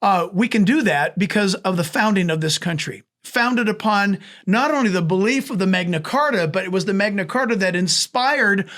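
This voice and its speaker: A man's voice: high voice, moderate pace, hardly any pauses, a bit bright. A man hosting his podcast as a pastor, effusive and eager in his welcome and questions. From San Diego area. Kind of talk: enthusiastic interview hosting.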